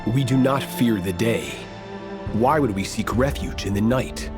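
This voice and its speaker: A man's voice: lowish voice, unhurried, lightly acted. A man voicing a proud, scornful nobleman swordsman in a video game, haughty and formal. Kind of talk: dramatic video game voice acting. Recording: music playing behind the voice.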